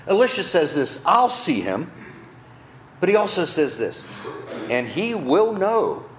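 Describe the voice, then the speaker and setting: A man's voice: high voice, echoey room, slight background noise. A male pastor preaching a sermon, telling the story with rhetorical questions, in a church.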